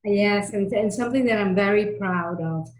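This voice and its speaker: A middle-aged woman from Miami gives personal, conversational interview answers. She sounds earnest and leans on repetition to make her points. Her pitch is mid-range, and the recording has a noticeable room echo. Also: moderate pace. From Miami, United States, of Cuban family. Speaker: interview guest, technology executive. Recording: roomy, echoey sound.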